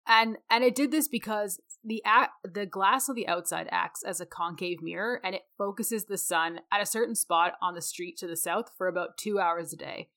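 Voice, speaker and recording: A woman's voice: mid-range voice, normal speed, hardly any pauses, pushed and bright. A woman co-hosting a conversational podcast, speaking in an opinionated, emphatic way. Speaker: podcast co-host.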